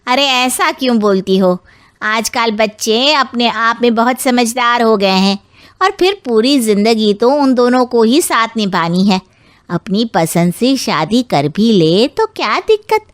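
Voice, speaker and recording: A woman voicing a sensible middle-aged friend who reasons reassuringly in an animated family drama. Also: a little high, dry recording, studio quality.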